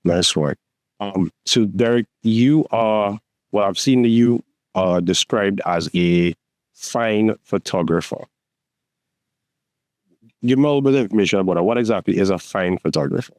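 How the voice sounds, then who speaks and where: A man's voice: on the low side, moderate pace, long pauses, darkish tone, no echo, clean studio sound. A man hosting a podcast, introducing the show and asking friendly, upbeat questions. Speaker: podcast host.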